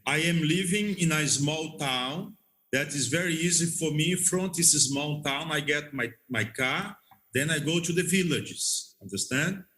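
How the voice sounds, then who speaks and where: A man who is a non-native English speaker, telling stories as an interview guest in a halting, repetitive way and checking that he is understood. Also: on the high side, bright, forceful tone. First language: not English. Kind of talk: conversational storytelling.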